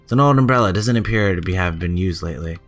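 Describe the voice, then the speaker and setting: A man's voice: low-pitched, racing, a bit bright, faint music behind. A man giving casual, chatty let's play commentary and reading game text aloud, stumbling now and then. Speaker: gaming channel host.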